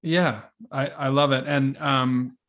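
Casting: man, average pitch, informal interviewer with an agreeable, halting conversational delivery. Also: fairly even intonation, a bit bright. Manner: agreeable, halting question phrasing. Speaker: podcast host, interviewer.

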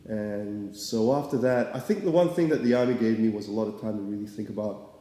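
A man's voice: fairly low pitch, a little fast. A young man from Singapore, an artist, talking about his path in a casual, rambling way with lots of fillers. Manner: relaxed, rambling, many fillers. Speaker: artist guest. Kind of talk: casual interview. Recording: echoey room with long reverb.

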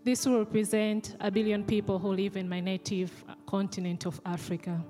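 A woman from Tanzania with a Tanzanian accent, a native Swahili speaker, calmly leading a communion prayer in church. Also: mid-range voice, normal speed. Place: large church hall. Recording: church hall reverb with soft music.

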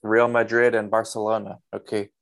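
Chatty man hosting, creaky voice. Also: on the low side, flat delivery, normal speed. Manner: informal, chatty. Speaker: podcast host. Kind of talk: casual interview chat.

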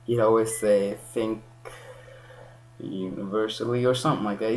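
A man's voice: fairly low pitch, poor audio. A young man from Kenya in casual chat, rambling with many restarts and self-corrections.

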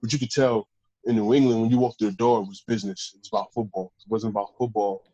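A man, a professional football player, telling stories in a casual interview with a deep voice and a loose, rambling way of talking. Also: steady tone, quick pace, dark tone, slightly rough, dry recording, dull audio. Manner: laid-back, rambling with restarts. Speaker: pro football player guest.

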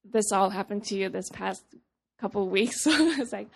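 Earnest woman with a gravelly edge. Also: mid-range voice, normal speed. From Papua New Guinea. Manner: earnest, conversational, restarts and acts out dialogue. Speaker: speaker sharing a testimony. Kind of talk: personal testimony talk.

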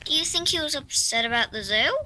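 A woman's voice: sits high, animated, brisk, bright, forceful tone, a little hiss or hum, full character voice, rough recording. A woman playing an obsessive, grandiose mad scientist, fretful and theatrical, in an audio drama. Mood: indignant, grand. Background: music.

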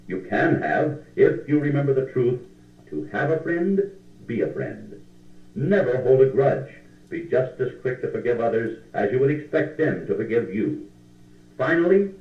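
A man reading scripted motivational self-help advice in a deliberate, instructional manner on an old cassette recording. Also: mid-range voice, far from the mic. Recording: tinny, band-limited, echoey 1960 cassette recording.

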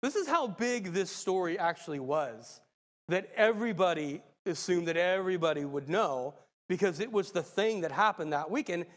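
Man giving a sermon, high voice, slightly raspy and hoarse, excitable, long hall reverb. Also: normal speed. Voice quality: raspy from a cold. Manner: excitable, laughs often. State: hoarse, recovering from a cold. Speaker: lay preacher.

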